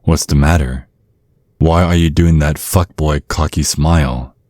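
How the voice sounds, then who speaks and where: A man's voice: extremely low pitch, fairly even intonation, measured pace, a little mellow, no room sound, clean studio sound. A man playing a centuries-old vampire father in an ASMR roleplay, protective and slyly polite, teasing with veiled threats. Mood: veiled menace. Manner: mock-courteous, insinuating, teasing with veiled threats.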